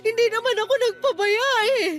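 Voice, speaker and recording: A woman's voice: very high-pitched, steady tone, a little fast. An actress playing a young bereaved mother in a radio drama, despairing, stubborn and anguished. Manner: anguished, tearful, self-blaming. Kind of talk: radio-drama dialogue acting. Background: music.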